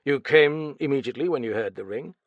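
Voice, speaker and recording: Casting: a cool detective, measured and probing, dry and close, as a male narrator voices him. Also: mid-range voice, lively intonation, dry recording, highs cut off, voice pushed up. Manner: measured, questioning. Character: calm, probing male investigator.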